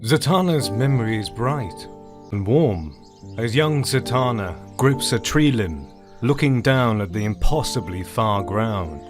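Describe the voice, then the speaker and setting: A man's voice: on the low side, expressive, unhurried, over music. A man narrating a scripted audio drama as its storyteller.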